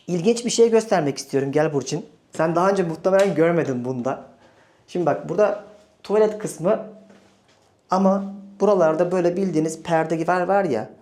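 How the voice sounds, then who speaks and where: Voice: mid-range voice, a little mellow. A travel vlogger from Turkey talking casually and conversationally, addressing viewers directly.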